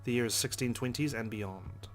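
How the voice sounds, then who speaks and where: A man's voice: medium pitch, moderate pace. A man reading visual novel narration aloud dramatically as a let's play host, with brief casual asides. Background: game background music.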